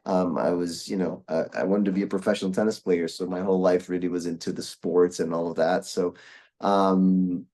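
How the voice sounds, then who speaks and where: Brief: young Brazilian man, lowish voice, loose rambling chat with many false starts. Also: flat delivery, moderate pace, mellow. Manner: rambling, with many restarts and fillers. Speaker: guest voice actor. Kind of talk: casual interview chat.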